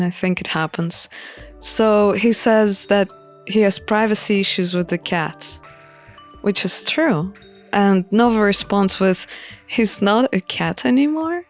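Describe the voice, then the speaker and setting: A woman narrates a visual novel playthrough at a slow, deliberate pace. Her voice sits at a medium pitch, and she moves between reading and commentary. Music plays faintly underneath.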